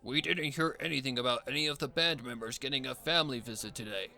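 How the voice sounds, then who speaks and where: A man voicing a gruff, officious security guard pony in a story, stern and huffy. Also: medium pitch, fast, pushed and bright, some chatter behind.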